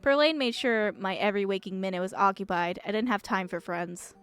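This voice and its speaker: A woman's voice: medium pitch, fairly quick, fairly bright tone, clear, resonant tone, lightly acted. A woman voicing a guarded, sardonic young woman from a small town in a video game, blunt and dismissive. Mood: guarded, wistful.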